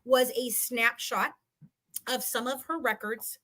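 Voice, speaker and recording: A woman's voice: a little high, steady tone, takes it slowly, pushed and bright. A woman giving heated, combative commentary in a casual live chat, repeating phrases for emphasis. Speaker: guest.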